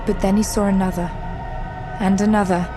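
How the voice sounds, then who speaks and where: A woman's voice: medium pitch, level tone, normal speed. A woman delivering scripted dramatic narration as the narrator of an audio drama. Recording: music behind the voice.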